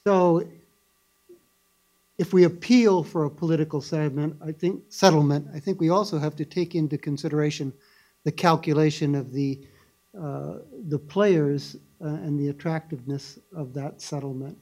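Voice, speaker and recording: A man's voice: a little high, steady tone, moderate pace, mellow. A man moderating a policy panel, summing up the talks in a hesitant way with frequent "uh".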